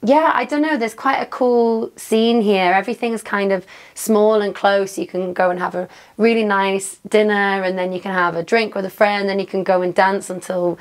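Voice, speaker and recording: A woman's voice: mid-range voice, fairly even intonation, normal speed, hardly any pauses. A young woman from Newcastle speaks with a Geordie accent as an interview guest. She talks casually and chattily, scattering "um" and "like" through her answers. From Newcastle, England. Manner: chatty, fillers like "um" and "like".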